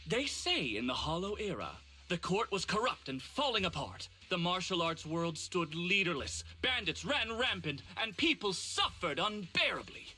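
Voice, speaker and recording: A man's voice: high voice, big pitch swings, normal speed, hardly any pauses, sharp tone, faint music behind, full character voice. A man voicing an enthusiastic, coaxing, money-minded film director in a video game, theatrical and salesmanlike. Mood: dramatic storytelling.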